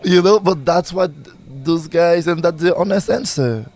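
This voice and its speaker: A man's voice: sits high, moderate pace, a little mellow. A man from Haiti with a Haitian accent, speaking as a musician in a casual interview, searching for his words as he goes. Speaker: musician, singer and bandleader being interviewed.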